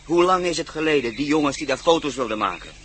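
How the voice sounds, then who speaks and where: An actor playing a blunt, grumbling, reluctant bush pilot in a radio play. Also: male voice, fairly high pitch, expressive, fast, a bit bright, a little hiss or hum. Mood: refusing, uneasy. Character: reluctant, superstitious bush pilot with a rickety seaplane.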